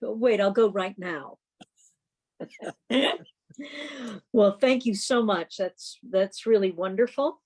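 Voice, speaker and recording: A woman's voice: mid-range voice, slow, pauses often, darkish tone. A woman chatting informally as host of an online meeting over a video call.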